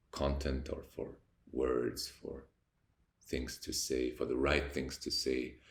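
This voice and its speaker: Young Austrian man, deep gravelly voice, German accent, slow reflective to-camera chat in an echoey apartment. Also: a little mellow. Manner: slow, reflective, pausing often to search for words. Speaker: vlogger. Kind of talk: unscripted talking to camera. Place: high-rise apartment by a window.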